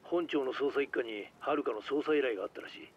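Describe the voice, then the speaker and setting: Muffled, serious detective on the phone. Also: male voice, mid-range voice, fairly quick, a little hiss or hum. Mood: urgent, serious. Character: gruff, serious middle-aged police detective. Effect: phone call filter. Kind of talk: video game cutscene voice acting. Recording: dull, muffled phone call.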